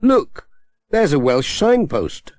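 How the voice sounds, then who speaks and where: A man's voice: a little high, very animated, slow, darkish tone, no room sound, pitched above the performer's own voice. A man voicing a friendly, helpful village postman in an emphatic way for a children's audiobook. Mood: corrective. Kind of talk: children's audiobook character acting.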